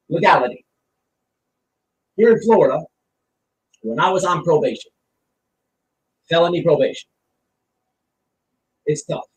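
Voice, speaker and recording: A man giving blunt, casual, opinionated commentary, emphatic and repeating his phrases as he explains with examples. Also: fairly high pitch. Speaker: online streamer giving commentary. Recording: roomy, distant mic with faint noise.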